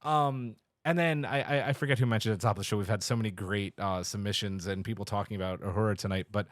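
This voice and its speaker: Low-voiced man chatting on a podcast. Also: dry recording, clean studio sound. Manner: emphatic, halting, enthusiastic storytelling with acted-out quotes. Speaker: podcast co-host. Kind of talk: casual chat, heartfelt tribute.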